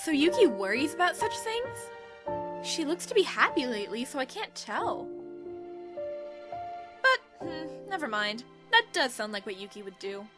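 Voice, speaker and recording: Over loud music comes a woman acting as a brash teenage schoolgirl, extremely high in pitch. She is a cheery, bold club leader in an audio drama, and her voice leaps about animatedly. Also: sing-song, normal speed. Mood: soft, reassured.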